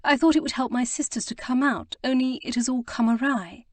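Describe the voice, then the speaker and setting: A woman voicing a flustered, earnest young gentlewoman who stammers and rushes to explain herself. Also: high-pitched, no echo, pitched above the performer's own voice. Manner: breathless, stammering, eager to explain. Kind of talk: audiobook character reading.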